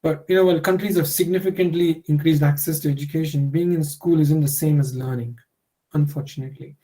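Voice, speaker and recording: A man's voice: a little high, fairly even intonation, brisk, mellow. A man, a Telugu speaker, giving a workshop lecture in a conversational way, full of "you know" fillers. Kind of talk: online lecture.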